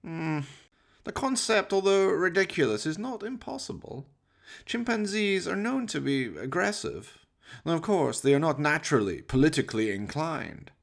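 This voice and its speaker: A man's voice: fairly high pitch, animated, normal speed, gravelly, voice pushed up. A man playing a sinister, gloating doctor in his fifties in a radio play, with pompous, theatrical and precise delivery. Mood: pompous, musing. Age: 50s. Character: sinister, gloating middle-aged mad scientist doctor. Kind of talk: radio play villain acting.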